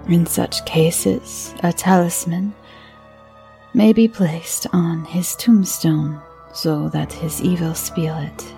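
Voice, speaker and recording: A woman voicing a timid, uneasy older village priest who speaks in slow, hushed whispers. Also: fairly low pitch, gravelly, faint music behind.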